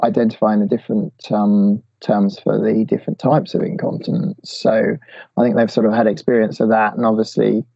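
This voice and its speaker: A man's voice: on the low side, flat delivery, fairly quick, creaky, warm tone, dry recording, highs cut off. A man answering interview questions, hesitant, with many restarts and fillers. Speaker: guest expert.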